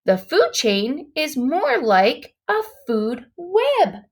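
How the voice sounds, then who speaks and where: High-voiced woman reading a storybook slowly. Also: huge pitch swings. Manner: dramatic, with exclamations and emphatic slow words. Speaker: storybook reader. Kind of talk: expressive children's read-aloud narration.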